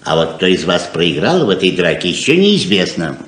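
A man's voice: low-pitched, huge pitch swings, normal speed, fairly bright tone, heavily acted. An actor playing a frank, persuasive, hospitable father of grown children in a 1970s radio play, earnest and plain-spoken. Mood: frank, reproachful.

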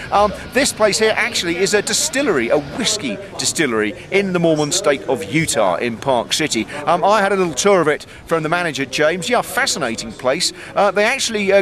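A man's voice: on the high side, expressive, a little fast, few pauses, crowd noise. A middle-aged man giving a casual snow report to a camera held at arm's length, outdoors on a mountain, talking in a chatty way with many ums and dry asides. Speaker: ski reporter.

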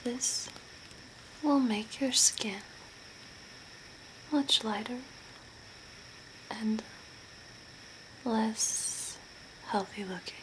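A young woman playing an eerie, courteous zombie in a slow, deliberate, breathy ASMR whisper. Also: fairly high pitch, rough recording. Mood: soothing, attentive. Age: young adult. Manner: slow, deliberate, softly spooky. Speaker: ASMR role-play performer. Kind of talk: whispered ASMR role play. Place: small room indoors by a curtained window. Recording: clear background noise, ambient hiss.

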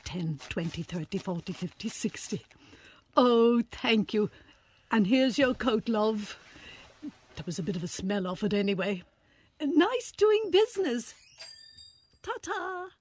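An actress playing a haughty, bargain-hunting shop customer with an affected manner in a radio drama. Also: female voice, on the high side, lively intonation, takes it slowly, pauses often, breathy edge, full character voice. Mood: smug. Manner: affected, self-satisfied.

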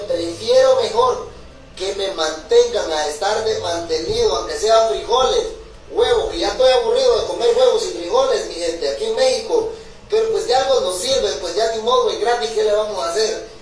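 A young man, ranting casually to camera. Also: high-pitched. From Honduras. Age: young adult. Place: small bare room indoors. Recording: echoey, distant, noisy phone video.

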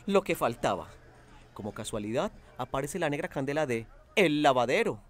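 A man's voice: mid-range voice, sing-song, normal speed. A man narrating a radio drama in a scripted, dramatic storytelling style.

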